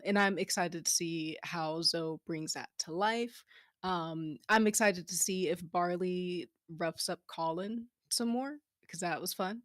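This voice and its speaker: Unhurried, lower-pitched woman guest. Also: fairly bright tone, dry recording, studio quality. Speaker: guest on an audio drama after-show. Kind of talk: casual chat. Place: online group voice call.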